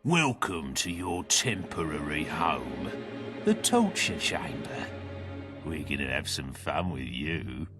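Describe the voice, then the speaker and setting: An actor playing a brutish, sadistic prison guard in a video game, gloating with menace. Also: male voice, very deep voice, very animated, takes it slowly, over music, heavily acted. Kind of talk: video game character acting.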